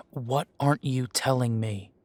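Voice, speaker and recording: A blunt, guarded young male werewolf acted by a man in an audio drama. His voice is mid-pitched and recorded very dry, with a questioning, defiant edge. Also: slow, a little mellow, no room sound, natural voice. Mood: frustrated, defiant. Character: defiant, bewildered young werewolf, reluctant pack leader. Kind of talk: audio roleplay drama acting.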